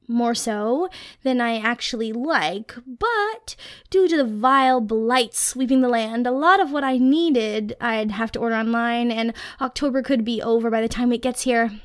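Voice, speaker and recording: A woman acts out a nerdy young girlfriend in an ASMR roleplay. Her voice is high and the sound is close and dry. She rambles playfully and affectionately, teasing the listener as she chats. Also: no room sound, lightly acted. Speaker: roleplay voice actor. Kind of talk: ASMR girlfriend roleplay, casual one-sided chat.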